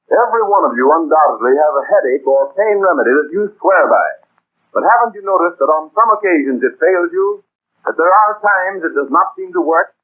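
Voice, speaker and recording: Husky vintage radio ad announcer, man. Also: on the high side, expressive. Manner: persuasive, measured. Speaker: commercial announcer. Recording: tinny old radio recording.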